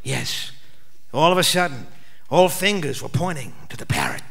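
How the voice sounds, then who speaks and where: An Australian actor playing a wry, world-weary private detective, narrating a noir parody radio play in a deadpan, declamatory way. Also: male voice, on the high side, normal speed, creaky, music in the background. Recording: live stage radio play broadcast.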